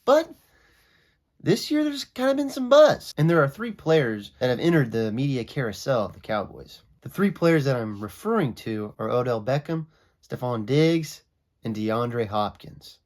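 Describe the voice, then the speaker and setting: A young man with a bit of a cold, so his voice comes out a little raspy. he talks through his football takes in a loose, opinionated way, his pitch jumping up when he gets fired up. it has the feel of a casual solo video chat. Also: normal speed. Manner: opinionated, conversational, animated pitch swings. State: sick, raspy voice. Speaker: sports vlogger. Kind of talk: casual sports commentary.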